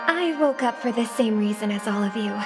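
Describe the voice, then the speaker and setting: An actress playing a gentle, graceful young woman in a video game, speaking softly and earnestly. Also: on the high side, lively intonation, a little fast, close to an everyday voice. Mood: earnest, relieved. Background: loud game music.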